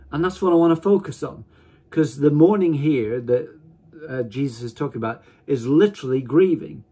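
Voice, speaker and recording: A man in his fifties giving an informal sermon to camera, speaking emphatically in deliberate, broken phrases. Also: mid-range voice, mellow, husky. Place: small attic room at home, indoors.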